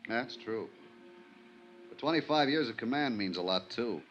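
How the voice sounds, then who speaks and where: An American man acting a steady, seasoned, plain-spoken police inspector in an old radio drama. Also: medium pitch, normal speed, muffled recording, natural voice. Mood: doubtful, thoughtful. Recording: noisy old radio recording.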